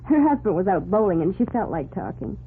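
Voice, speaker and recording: A woman's voice: medium pitch, big pitch swings, brisk. An actress playing a perky, eager young woman assistant with a chatty manner, in 1940s radio drama style. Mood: chatty, confiding. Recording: noisy, phone-like 1940s radio recording.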